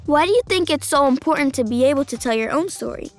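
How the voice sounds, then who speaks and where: A woman's voice: sits high, expressive, quick pace, faint music behind. A woman hosting a scripted interview show made for children. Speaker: podcast host.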